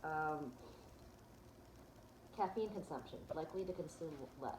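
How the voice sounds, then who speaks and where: Woman vlogger, casual chat, low voice, echoey noisy room. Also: lively intonation, a little slow. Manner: chatty, many ums and restarts. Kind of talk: casual chat, walking through results on screen.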